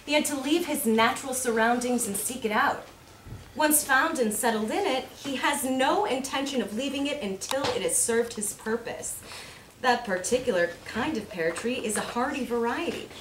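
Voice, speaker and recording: A woman reads reflective prose aloud in a fairly high voice, measured and contemplative. The room is echoey, with faint noise underneath. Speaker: reader at a readers theater event. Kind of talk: live reading of a reflective prose piece.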